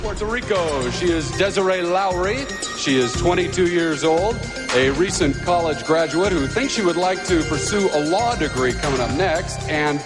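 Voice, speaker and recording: A man hosting a pageant broadcast, introducing contestants and asking questions in a lively way. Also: fairly high pitch, normal speed, loud music behind the voice. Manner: lively, animated announcing. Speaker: pageant host.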